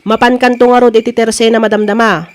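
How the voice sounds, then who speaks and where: Actress as a high-voiced Ilocano eatery woman. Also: little pitch movement, fast talker, lightly acted. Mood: brisk, practical. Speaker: actor in radio drama. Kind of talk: Filipino comedy radio drama acting.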